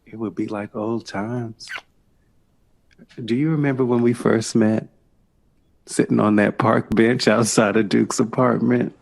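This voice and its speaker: Audio drama lover: slow, gravelly, affectionate, playfully dry. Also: male voice, lowish voice, fairly even intonation. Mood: tender, pleading. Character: devoted, teasing male lover of a jazz composer.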